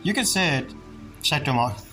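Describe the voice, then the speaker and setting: A man's voice: fairly high pitch, lively intonation, normal speed. A man giving casual, blunt, slangy reactions as he plays a game, with game audio behind him. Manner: slangy, blunt, repetitive interjections. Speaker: game streamer. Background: game music and dialogue.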